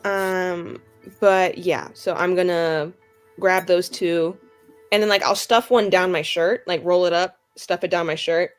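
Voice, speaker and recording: A woman's voice: fairly low pitch, fairly even intonation, unhurried, clean tone, faint music behind. A woman playing a tabletop roleplaying game, casually improvising and thinking aloud in a rambling way.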